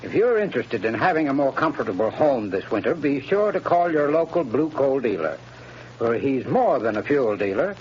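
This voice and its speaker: A man's voice: medium pitch, sing-song, moderate pace, a little mellow, a little hiss or hum. A man reading a scripted sponsor's message on a 1930s radio show in an earnest, friendly salesman's delivery. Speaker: sponsor's spokesman. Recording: muffled 1930s radio broadcast.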